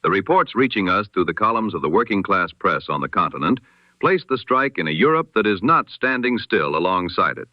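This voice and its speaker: A low-voiced man reads the news like an old-time radio announcer, his pitch rising and falling widely as he lands each point. He slows for dramatic pauses. The sound is dry and dull, like an old lo-fi radio set. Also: sing-song, dry recording. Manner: measured, emphatic delivery with dramatic pauses. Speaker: newsreader.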